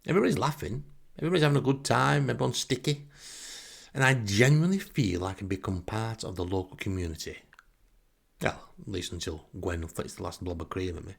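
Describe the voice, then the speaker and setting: Northern english bloke telling funny stories about himself, lowish gravelly voice, wry and a bit theatrical. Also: male voice, a little fast. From northern England. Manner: wry, self-deprecating, theatrical emphasis. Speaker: storyteller and host. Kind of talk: comic first-person storytelling.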